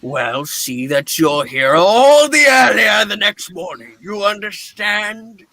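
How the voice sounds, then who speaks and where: Radio play casting: sour old miser performed by a man, high voice, unhurried, curt, scornful, very dry sound. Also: lively intonation, bright, forceful tone, no echo, heavily acted. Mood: indignant. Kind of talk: theatrical radio play reading.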